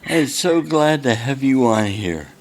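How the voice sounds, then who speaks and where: A man in his sixties hosting a podcast, asking questions with halting starts and fillers. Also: medium pitch, lively intonation, darkish tone, poor audio. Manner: halting, many uhs and restarts. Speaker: podcast host. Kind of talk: casual podcast interview.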